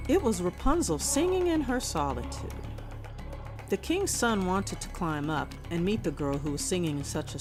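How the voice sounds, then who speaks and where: Female storybook narrator, lowish pitch, measured scripted delivery for children, music bed behind.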